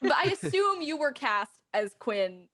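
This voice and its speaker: A young woman actor thinking out loud in casual, rambling speech. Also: high-pitched, very animated, measured pace. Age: young adult. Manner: rambling, speculative, frequent "like". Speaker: actor in the show. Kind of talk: casual chat.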